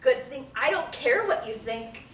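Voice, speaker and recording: A woman reads a prickly young woman forced into therapy, quick to curse and slow to trust. Her voice sits a little high and swings widely. The sound is roomy and picked up from a distance, with faint noise underneath. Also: expressive. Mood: grudging. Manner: sarcastic, blunt, swears freely. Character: abrasive, defensive young woman in court-ordered therapy. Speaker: table-read actor. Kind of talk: script table reading.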